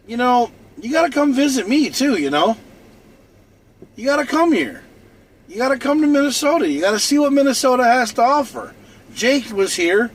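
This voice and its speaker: High-voiced man rambling over traffic noise. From North Dakota. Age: 40s. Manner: repetitive, restarts and repeats phrases. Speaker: livestream host. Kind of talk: rambling casual monologue. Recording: noisy outdoor traffic behind. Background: car and street noise.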